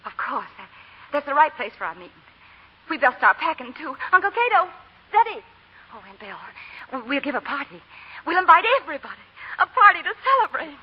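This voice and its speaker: High-voiced, willful belle. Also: female voice, very animated, fairly quick. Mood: excited. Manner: intense, theatrical. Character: willful, impassioned young Southern belle. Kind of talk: 1940s radio drama acting. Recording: dull, noisy 1940 radio recording.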